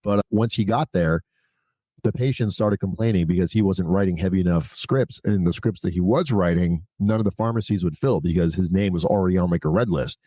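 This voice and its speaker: A man's voice: low voice, fairly quick, dark tone, no room sound. A man speaking as a podcast guest, telling his story in a candid, conversational way with blunt, informal, casually sweary phrasing. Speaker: podcast guest, prison consultant.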